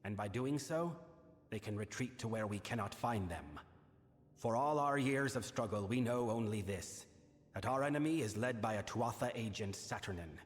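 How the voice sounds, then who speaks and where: A male actor voicing a grave, burdened fae king in a fantasy game, speaking formally and in a measured, solemn manner. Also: on the low side, breathy edge. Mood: stern, formal. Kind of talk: scripted fantasy game dialogue. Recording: long reverberant hall, music behind. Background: music.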